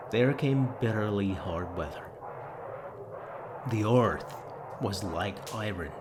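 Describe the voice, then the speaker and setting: A man reading a literary story as an audiobook narrator, with measured, deliberate, dramatic phrasing. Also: fairly low pitch, darkish tone.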